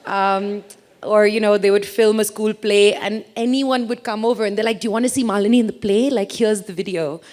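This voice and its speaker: A woman's voice: mid-range voice, fairly even intonation, a little fast, a little mellow. A woman in her thirties from India, with an English accent mixed from a childhood abroad, giving a chatty, self-mocking talk on stage. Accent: mixed international English, from a childhood abroad. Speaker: speaker. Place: stage talk to a live audience.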